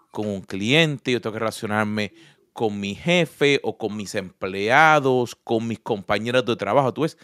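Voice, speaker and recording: A man's voice: mid-range voice, fairly bright tone, no echo, clean studio sound. A man with a Puerto Rican Spanish accent, telling personal stories in an earnest, reflective conversation. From Puerto Rico. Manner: earnest, storytelling with personal examples.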